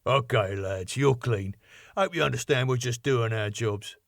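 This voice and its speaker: A man's voice: medium pitch, unhurried, vocal fry, no echo, clean studio sound. Man doing a matey, matter-of-fact doorman. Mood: matey, businesslike. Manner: matey, colloquial. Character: George, friendly, matter-of-fact male doorman.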